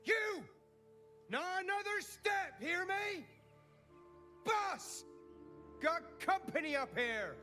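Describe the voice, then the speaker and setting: An aggressive female bandit lookout from a game, extremely high and sharp. She calls out challenges in alarm, with faint music behind her. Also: expressive, slow pace, long pauses, full character voice.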